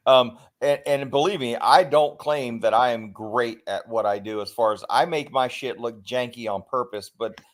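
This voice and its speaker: A middle-aged man chatting loosely as a guest, laughing easily and poking fun at himself. His voice sits at an ordinary pitch and keeps a relaxed, everyday delivery. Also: moderate pace, hardly any pauses. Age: 40s or 50s. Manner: laughing, self-deprecating. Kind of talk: casual group chat.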